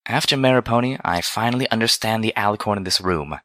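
Guy doing a silky prim lady pony for an audiobook, genteel and teasing, pitched up a bit from his low voice. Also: moderate pace, pushed and bright, dry recording, studio quality. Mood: musing. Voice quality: smooth, silky. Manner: formal, genteel diction. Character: refined, teasing female pony singer, velvety and prim.

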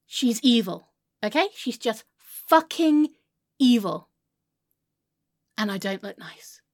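A woman's voice: on the high side, expressive, takes it slowly, full character voice. A woman playing a melodramatic, irrational, foul-mouthed character in a comedic monologue, histrionic and swinging between sulky muttering and wailing. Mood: stubbornly indignant. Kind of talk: comedic monologue to an unheard friend.

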